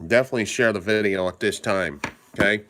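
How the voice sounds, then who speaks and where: Hoarse, stuffed-up man live-streaming. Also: on the low side, fairly quick, sharp tone. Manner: rambling, repeats himself, greets viewers by name. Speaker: livestream host. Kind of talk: casual live-stream commentary.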